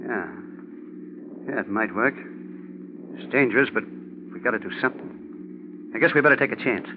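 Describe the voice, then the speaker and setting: An actor playing a scheming, impatient crook leader with a curt manner in a 1940s radio crime drama. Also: male voice, medium pitch, normal speed, natural voice. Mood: tense. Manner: curt, impatient, tough talking. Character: tense, scheming male crook, the bossy leader. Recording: muffled 1940s radio recording, faint noise.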